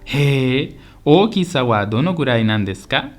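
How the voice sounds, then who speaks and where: A man playing a polite, eager tourist from Spain in a scripted language-lesson radio drama, speaking in simple, clear sentences. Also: medium pitch, lively intonation, normal speed, close to an everyday voice. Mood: interested, pleased. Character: polite, eager Spanish tourist visiting Osaka.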